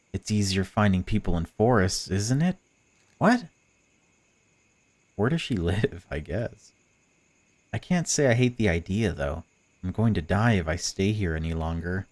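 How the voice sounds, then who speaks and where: A man's voice: low voice, a little slow, long pauses, a little mellow, no echo. A man voicing a suffering, bitter captive, reading bleak first-person narration from a visual novel. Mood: resigned, bitter. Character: suffering, bitter, frightened captive bound outdoors.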